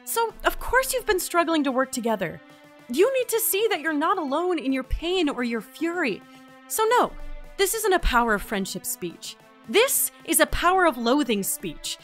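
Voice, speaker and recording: A woman playing an earnest, quick-witted traveler on a mission in a fantasy audio drama. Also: sits high, big pitch swings, soft background music. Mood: rousing. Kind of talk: fantasy audio drama acting with log narration.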